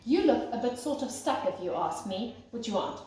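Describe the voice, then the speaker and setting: A woman's voice: fairly high pitch, expressive, fairly quick, distant mic, natural voice. A woman voicing an eager, talkative male snowman who rambles helpfully in a children's storybook. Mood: chatty, eager. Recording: very echoey room, faint noise.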